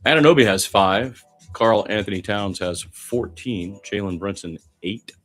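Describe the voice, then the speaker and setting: A low-pitched man calls basketball in an informal radio play-by-play style, dropping chatty asides between calls. Music plays behind his voice. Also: unhurried, fairly bright tone.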